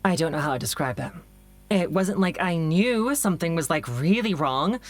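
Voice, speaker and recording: A woman's voice: fairly low pitch, animated, normal speed, no room sound. A woman playing an anxious, persistent doctor who works in communications, hesitant and earnest in a naturalistic audio drama. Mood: defensive, weary.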